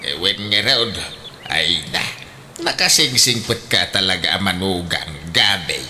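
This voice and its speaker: A man's voice: deep voice, measured pace, bright, forceful tone, slight background noise, exaggerated voice. An actor with an Ilocano accent playing a teasing, blunt older father in a comedic radio drama, full of exclamations. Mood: jovial, teasing.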